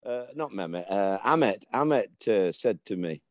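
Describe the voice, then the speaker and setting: A man's voice: fairly low pitch, moderate pace, no echo. An older man, telling football stories in casual chat and acting out the dialogue. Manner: animated storyteller, acts out dialogue.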